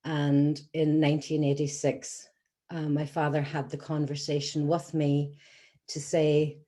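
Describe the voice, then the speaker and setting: Irish lady in her 60s, low voice, earnest and measured, lots of ums. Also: level tone, mellow. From Scotland, then Ireland. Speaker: patient advocate giving a talk. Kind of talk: personal story told to an audience.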